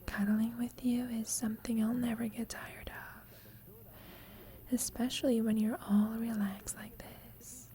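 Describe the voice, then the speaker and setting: A woman playing a teasing, affectionate young roommate with a secret crush in an ASMR roleplay, speaking softly and breathily, often in a whisper, with playful, tender gentleness. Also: fairly high pitch, flat delivery, slow. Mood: tender, confessional. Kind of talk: ASMR roleplay, intimate sleep-aid audio.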